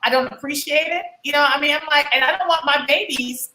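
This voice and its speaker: A woman's voice: sits high, fast talker, bright, forceful tone, slight background noise. A woman talking passionately and from the heart on a video call. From New Orleans, USA. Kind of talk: casual discussion. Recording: echoey room.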